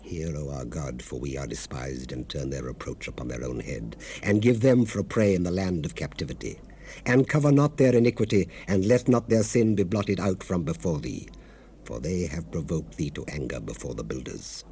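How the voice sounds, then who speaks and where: Deep, solemn Nehemiah on hissy cassette. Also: male voice, animated, normal speed, warm tone. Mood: grave prayer. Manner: solemn, declamatory, oratorical. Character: Nehemiah, earnest, devout male leader recounting his people's struggle. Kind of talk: dramatized scripture reading, solemn narration. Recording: hissy 1970s cassette rip.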